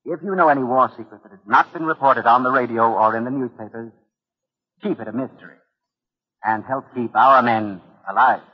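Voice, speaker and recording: A dull, lo-fi vintage broadcast of a man introducing radio drama. His voice is mid-pitched, and he narrates in formal, scripted 1940s style. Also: fairly quick, husky. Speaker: host of a radio mystery series.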